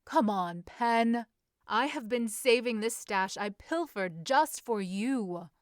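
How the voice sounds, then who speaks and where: A woman's voice: on the high side, lively intonation, takes it slowly, clean tone, no room sound, clean studio sound. A woman reading as a confident, scheming young society lady, eager and formal in a period story. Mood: eager, coaxing. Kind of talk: audiobook-style character reading.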